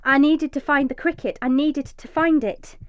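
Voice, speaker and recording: An actress playing a desperate, vengeful mother trapped underground, narrating intensely in a breathy first-person radio drama monologue. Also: high-pitched, steady tone, normal speed, close to an everyday voice. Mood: tense, hushed. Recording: echoey, slightly dull recording.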